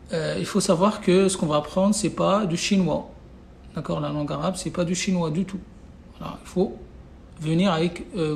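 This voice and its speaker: Gravelly man teaching in a live class. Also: high voice, darkish tone, a little hiss or hum. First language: Arabic. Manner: repetitive, insistent, many fillers and restarts. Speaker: Arabic teacher. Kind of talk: live online class, casual teaching talk. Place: away from home, no whiteboard.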